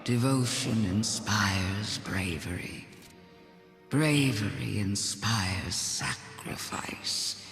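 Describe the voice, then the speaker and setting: A slow, ominous low-voiced villain. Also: heavily acted. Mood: ominous, solemn. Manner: slow, deliberate, portentous. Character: ominous villain speaking in slow, cryptic recollection. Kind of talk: video game voice acting. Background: loud music.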